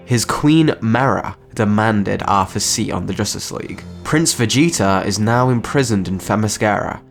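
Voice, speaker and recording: A man narrates a dramatic scripted story. His voice is on the low side, and faint music plays beneath it.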